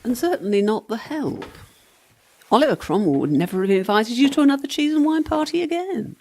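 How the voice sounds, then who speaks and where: Woman playing a husky, sardonic ghostly servant girl. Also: a little high, sing-song, a little fast, darkish tone. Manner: sarcastic, teasing, breezy. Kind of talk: comic radio play acting.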